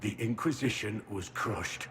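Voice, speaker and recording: A slow, haunted, low-voiced prisoner. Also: male voice, a bit bright, big-room sound, a little hiss or hum. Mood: despairing. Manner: slow, weary, dazed. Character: broken, devout male prisoner, haunted and despairing. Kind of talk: video game cutscene acting.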